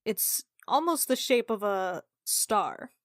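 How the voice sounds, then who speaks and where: A woman's voice: mid-range voice, expressive, slow pace, no echo. Woman describing casually, very dry sound. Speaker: role-playing game player. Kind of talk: casual character description in a tabletop game.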